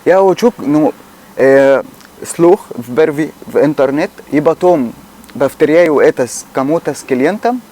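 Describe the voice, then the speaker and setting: Egyptian man in halting Russian. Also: a little high, animated, dark tone. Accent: Egyptian Arabic. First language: Arabic. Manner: earnest, halting, slow to find words. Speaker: shopkeeper. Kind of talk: casual chat.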